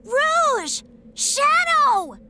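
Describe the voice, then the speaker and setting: Very high, boyish fox kid. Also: female voice, extremely slow, background noise, full character voice. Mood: excited. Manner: excitable, childlike. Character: young, eager boyish fox sidekick. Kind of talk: video game cutscene acting.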